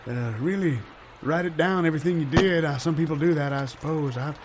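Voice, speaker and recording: A man playing a folksy, excitable small-town parade commentator in an improvised mock live broadcast. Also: fairly high pitch, brisk, a little hiss or hum, lightly acted. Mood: earnest. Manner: folksy, homespun phrasing.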